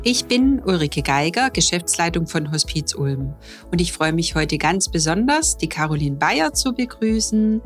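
Middle-aged woman, low-ish voice, courteous podcast host with hesitant fillers. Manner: warm, formal address with frequent fillers. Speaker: managing director hosting the podcast. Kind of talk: friendly podcast interview.

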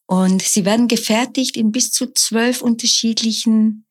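A middle-aged woman, a nurse, explaining her practice in calm, measured interview answers. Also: fairly high pitch. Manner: calm, measured, often slow and deliberate. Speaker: nurse and sound bowl practitioner.

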